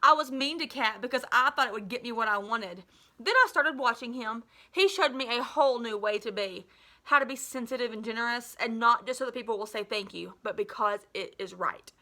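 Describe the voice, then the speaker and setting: A woman's voice: a little high, brisk, bright, forceful tone. A woman voicing a remorseful middle-school girl in a storybook, confessing earnestly and emotionally.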